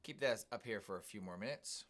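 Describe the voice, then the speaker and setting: A man hosting a live day trading stream, calmly commenting on his trades and greeting viewers in the chat. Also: fairly low pitch, fairly quick. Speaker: day trading livestream host.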